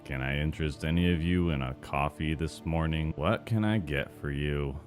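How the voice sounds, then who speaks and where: A man voicing a deadpan, moody, depressed cafeteria worker for an animated series, slow and glum in a deep voice. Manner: slow, glum, flat delivery. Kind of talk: animated cartoon voice acting takes.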